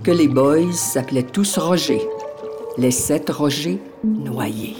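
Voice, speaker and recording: Slow grave poetic narration over music. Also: mid-range voice. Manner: slow, measured, grave delivery.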